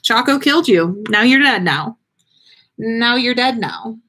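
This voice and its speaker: A woman podcast host reading stories aloud in an animated, playful, expressive way, with casual chat. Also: on the high side, a little slow.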